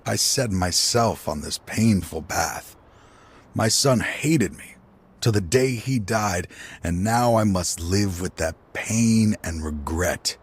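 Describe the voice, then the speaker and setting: A grieving old father in a game cutscene, his voice very deep and his pace slow and heavy. He sighs often and sounds worn down by regret. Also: animated, breathy edge, exaggerated voice. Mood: weary regretful. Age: older. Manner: weary, slow, sighing. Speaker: video game character.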